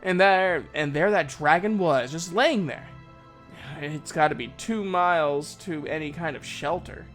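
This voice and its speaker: Higher-pitched man telling a folksy fantasy tale. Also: measured pace, a bit bright, faint music behind. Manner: folksy, conversational. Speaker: narrator, voice actor. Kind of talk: first-person storytelling, dramatic fantasy audio reading.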